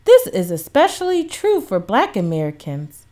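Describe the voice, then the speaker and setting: A woman voicing a reassuring, patient father in a children's storybook, talking with gentle emphasis to his child. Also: medium pitch, wildly swooping pitch, normal speed. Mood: patient, explaining. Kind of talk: children's storybook read-aloud.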